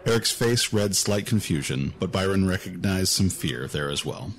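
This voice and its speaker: A man's voice: low voice, expressive, normal speed, husky. A man narrating a scripted fantasy story as an audiobook narrator.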